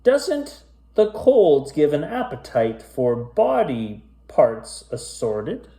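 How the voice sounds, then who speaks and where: A man's voice: a little high, very animated, takes it slowly, dark tone, full character voice. A man voicing a sinister, bony bus driver from a storybook, with hissing, moaning menace. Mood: softly menacing. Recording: roomy, echoey sound.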